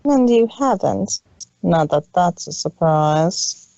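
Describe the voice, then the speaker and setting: A man's voice: sits high, animated, takes it slowly, vocal fry, warm tone, no room sound, dull audio, pitched above the performer's own voice, full character voice. A man voicing a cold, cruel, haughty older-sister pony mare in a story reading, contemptuous and scolding in manner.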